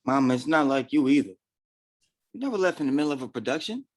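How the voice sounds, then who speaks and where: A man's voice: mid-range voice, expressive, fast, natural voice. A man playing a concerned, helpful family man in a staged play reading.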